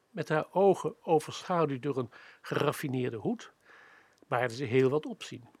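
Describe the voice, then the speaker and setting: A man playing an observant hotel employee who narrates gossip in a radio play, deliberately. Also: fairly high pitch, no echo.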